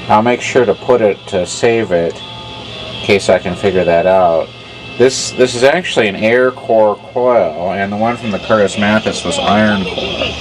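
A man with a very low voice gives a casual hands-on demonstration. He talks in a measured, halting way, with music behind him. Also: low-quality recording. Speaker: hobbyist radio repairer.

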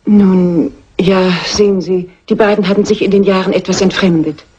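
An actress playing a timid, easily upset lady's companion in a German radio crime drama, anxious, prim and husky. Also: medium pitch, steady tone, normal speed, warm tone, lo-fi sound, close to an everyday voice. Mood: timid, nervous.

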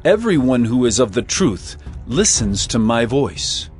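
An actor playing a calm, resolute prisoner in a scripture drama, speaking in a measured, earnest, solemn way. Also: male voice, medium pitch, soft background music. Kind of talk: dramatized scripture audio acting.

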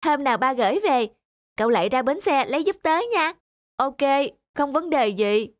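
A woman's voice: high voice, big pitch swings, unhurried. A woman voicing an earnest, polite young flower lover in a children's cartoon, with music behind her. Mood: dreamy, admiring.

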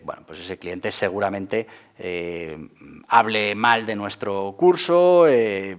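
A man, hosting an explainer video to camera from a studio desk. He gestures broadly and leans on fillers like "bueno, pues". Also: on the low side. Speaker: host of a channel on marketing for entrepreneurs. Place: indoor studio set with a porthole backdrop. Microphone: lapel mic, seated at a desk.

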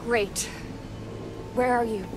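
A woman's voice: a little high, fairly even intonation, slow pace, noisy background. A young woman playing a serious, determined masked heroine in a video game, firm and focused. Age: young adult. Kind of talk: video game dramatic acting.

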